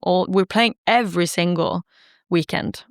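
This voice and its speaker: A singer-songwriter woman from Norway, chatting casually in an interview, laughing easily and filling pauses with ums and likes. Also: fairly low pitch, clean tone, dry recording, clean studio sound. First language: Norwegian.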